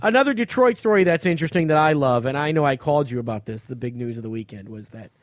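A man's voice: mid-range voice, lively intonation, fast talker, dry recording. An American man hosting a podcast, chatting casually and telling stories in an informal, rambling, sweary way. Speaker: podcast host and touring comedian.

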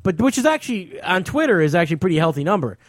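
A man's voice: high-pitched, very animated, rapid-fire, no echo. A man hosting a radio show as a comic, explaining things insistently in casual chat.

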